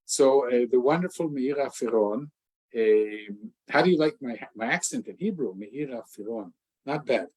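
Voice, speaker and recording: A man's voice: mid-range voice, lively intonation, moderate pace, a little mellow, breathy edge, poor audio. An older man interviewing a guest in a casual, effusive way, stumbling through many restarts. English is his first language.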